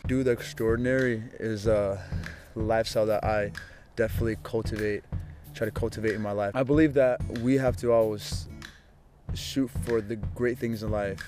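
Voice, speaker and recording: A man's voice: fairly low pitch, steady tone, normal speed. A man from Ukraine, interviewed as a model, speaking earnestly in repeated short declarations. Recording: music playing under the voice.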